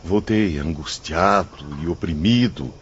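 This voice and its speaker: A man's voice: deep voice, unhurried. A man with a Brazilian accent playing a remorseful former gambler's tormented spirit in an audiobook drama, confessional and dramatic in formal diction. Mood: anguished confession. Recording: dull audio, faint ambient noise.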